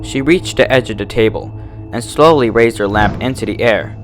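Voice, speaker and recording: A man's voice: fairly low pitch, normal speed, soft background music. A man reading a horror fan fiction aloud as its narrator, in a scripted storytelling style.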